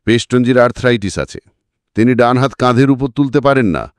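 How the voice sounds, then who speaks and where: A man's voice: mid-range voice, a little fast, dry recording, studio quality, pitched above the performer's own voice, close to an everyday voice. A man voicing a confident private detective in an audio story, setting out a case in a methodical, emphatic, accusing way. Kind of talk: dramatic audiobook character reading.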